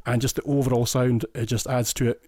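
A man's voice: medium pitch, little pitch movement, brisk, darkish tone, no echo. Scottish man, enthused, dry close sound. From Scotland. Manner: detailed, enthusiastic, often restarts phrases. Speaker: listener guest. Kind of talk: casual podcast chat.

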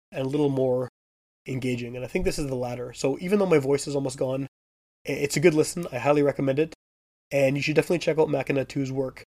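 A man hosting a local music podcast, talking casually with many fillers while losing his voice. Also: medium pitch. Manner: relaxed, rambling with frequent fillers. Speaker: podcast host. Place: basement.

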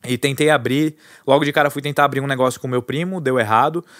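A Brazilian man in his thirties, a guest entrepreneur telling his story in a relaxed, chatty way, with lots of fillers and restarts. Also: mid-range voice, fast talker, no room sound, clean studio sound. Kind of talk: casual storytelling interview.

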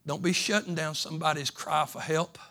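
A man preaching a sermon in a slightly high voice. He talks in a folksy, repetitive way, moving from soft conversational stretches into loud, fervent preaching. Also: fairly even intonation, brisk, gravelly. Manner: folksy, repeats phrases. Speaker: pastor.

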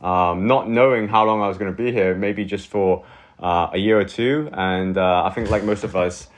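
A man's voice: sits low, moderate pace. A man in his thirties from Hong Kong, a guest chatting casually in a loose, rambling way full of fillers. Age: 30s. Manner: relaxed, rambling, many fillers like "like" and "you know". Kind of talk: casual chat.

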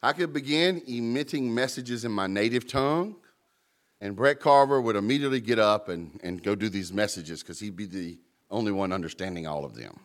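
A man's voice: fairly low pitch, brisk. A man preaching a sermon with emphasis, calling out to the congregation and joking about his own mumbling. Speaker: pastor.

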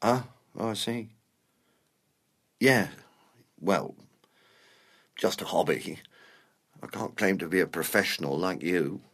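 An actor playing a fussy, easily wounded older washed-up performer, speaking in prim, pedantic phrases with dry understatement. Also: male voice, sits low, expressive, takes it slowly, long pauses, fairly bright tone, slightly rough, heavily acted. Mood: subdued. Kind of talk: naturalistic dark comedy dialogue.

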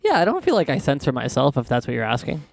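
A man with a squeaky voice co-hosting a casual podcast chat, laughing often and sounding politely reluctant. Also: wildly swooping pitch, fast talker, vocal fry. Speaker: podcast co-host.

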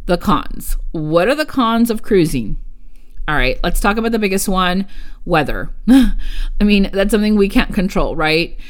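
A woman hosts her own travel podcast, talking to her listeners in a chatty solo monologue. She is an experienced travel agent, keeps calling her audience "you guys", and sometimes wanders off on a tangent before coming back. Her voice sits at an ordinary middle pitch for a woman. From United States. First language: Spanish. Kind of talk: casual solo podcast talk.

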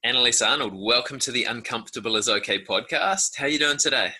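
A man's voice: mid-range voice, fast, background noise. A man, hosting a podcast interview and asking upbeat, friendly questions. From New Zealand. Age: 30s. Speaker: podcast host.

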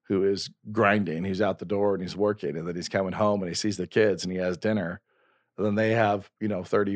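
Male host, 40s, low voice, dry close mic, earnest rambling chat. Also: no room sound. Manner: rambling, restarts often, earnest. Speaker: podcast host and novelist. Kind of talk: casual conversation.

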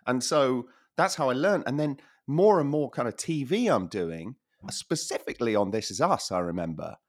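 A man co-hosting a casual podcast chat, animated and quick to laugh, with a voice that is wearing out. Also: medium pitch. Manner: animated, laughs often, repeats himself. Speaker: podcast co-host.

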